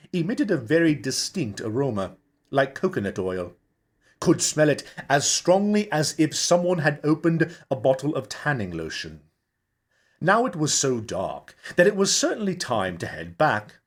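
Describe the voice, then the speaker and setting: A man reading fiction aloud as a storyteller, with dramatic, deliberate and expressive audiobook delivery. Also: mid-range voice, breathy edge. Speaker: actor reading a novel chapter. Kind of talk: dramatic scripted audiobook narration.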